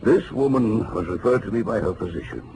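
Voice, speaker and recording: A pompous, fussy psychoanalyst in 1940s radio style. His low voice swoops around in an animated, emphatic way, halting as he goes. The vintage recording is clearly noisy. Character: pompous, fussy male psychoanalyst, excitable and self-absorbed. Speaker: radio drama actor. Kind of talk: 1940s radio comedy-mystery acting.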